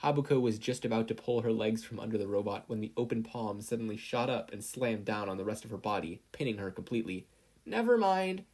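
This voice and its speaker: Casting: male audiobook-style narrator, low pitch, husky tone, reading scripted fiction. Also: fairly even intonation, fast, hardly any pauses, darkish tone. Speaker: podfic reader, narrator.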